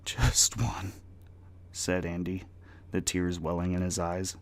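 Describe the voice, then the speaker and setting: A man's voice: low-pitched, monotone, moderate pace, a little mellow. A man giving a dramatic scripted reading as the narrator of a darkly comic story.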